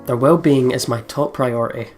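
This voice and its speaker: A man with a mid-range voice reads a visual novel aloud as a let's play host. He mixes expressive narration with casual commentary, over faint music. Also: medium pitch, normal speed. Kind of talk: expressive story reading with casual game commentary.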